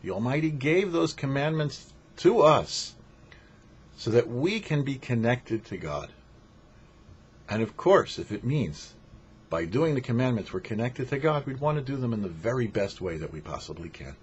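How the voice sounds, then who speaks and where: A man's voice: mid-range voice, big pitch swings, moderate pace, husky. A man speaking as a rabbi giving a short religious lesson, teaching in an emphatic, didactic way with rhetorical questions. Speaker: rabbi hosting a weekly Torah portion show. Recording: dull lo-fi audio with faint noise.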